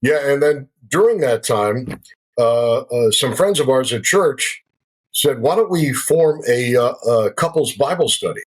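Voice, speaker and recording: A man in his sixties with a somewhat husky voice recounts his life story as a guest. He speaks reflectively and unhurriedly, a former radio broadcaster settling into his memories. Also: medium pitch, expressive, a little slow, poor audio. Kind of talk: personal testimony in conversation.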